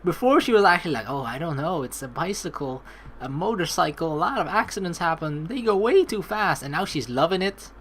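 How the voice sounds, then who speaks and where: Low-voiced, animated woman gamer. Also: a little fast, breathy edge. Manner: animated, informal, chatty asides. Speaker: let's play host. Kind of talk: gameplay commentary, reading game text aloud.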